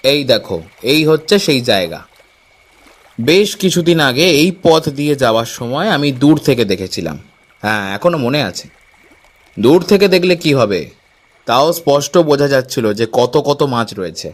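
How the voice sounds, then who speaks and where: A man's voice: medium pitch, lively intonation, normal speed, natural voice. A man voicing an eager, persuasive village fisherman in a Bangla cartoon. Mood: eager, explaining.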